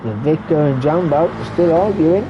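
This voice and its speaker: A man giving relaxed running commentary on a sim race, stuffy and muffled from a cold. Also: on the high side, moderate pace, music in the background. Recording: muffled lo-fi recording with background noise.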